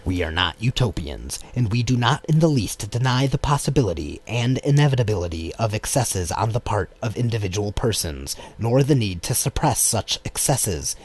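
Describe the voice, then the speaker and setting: A man reading a political text as an audiobook in a measured, formal way. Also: fairly low pitch, very animated, darkish tone. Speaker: audiobook reader. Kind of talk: scripted narration of a political text. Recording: clear background noise.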